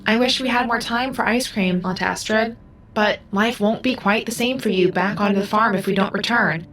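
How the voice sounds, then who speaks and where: A woman playing a gentle, solemn, all-seeing computerized being in an audio drama, speaking in a measured, cryptic way. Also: mid-range voice, lightly acted. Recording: music under the voice.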